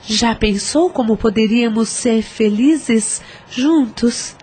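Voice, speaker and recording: A woman's voice: on the high side, takes it slowly, a little mellow, slightly rough. A woman playing a vindictive, seductive possessed mother in an audio drama, haughty and insistent.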